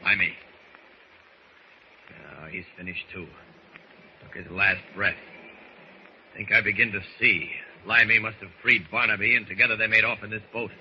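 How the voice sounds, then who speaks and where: A man's voice: fairly low pitch, steady tone, normal speed, long pauses, natural voice. A man playing a confident, decisive superhero who talks through his actions aloud, in old radio serial style. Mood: brisk, musing. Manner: narrates own actions aloud. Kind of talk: 1940s radio serial acting. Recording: noisy, dull old radio recording.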